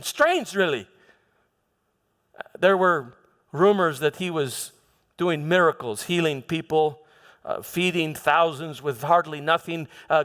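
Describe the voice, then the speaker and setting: A man playing a proud, defensive Roman prefect and soldier in a dramatic monologue, halting and repeating words as he tells his story theatrically. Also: high-pitched, a bit bright. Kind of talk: first-person church dramatic monologue. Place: church, live dramatization. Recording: reverberant hall.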